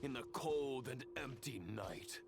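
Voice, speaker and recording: An actor playing a scornful, fatalistic ancient knight in a video game, making grave theatrical pronouncements with phrases trailing into breath. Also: male voice, medium pitch, measured pace, close to an everyday voice. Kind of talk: dramatic video game voice acting. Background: music.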